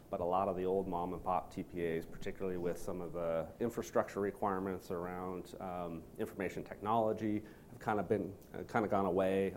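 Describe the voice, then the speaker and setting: A man in the audience giving a measured comment, explaining market changes with frequent pauses. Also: on the low side, a little mellow, a little hiss or hum. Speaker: audience member. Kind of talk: commenting in a discussion.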